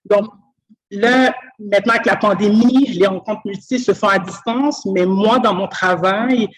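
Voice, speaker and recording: A woman from Quebec with a Québécois French accent, mid-pitched for her sex, explaining her work as a school speech therapist. She speaks thoughtfully and earnestly, often pausing on fillers and restarting her phrases. The sound comes through a video call. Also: poor audio. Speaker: school speech-language pathologist, guest. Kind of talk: conversational interview. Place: school office.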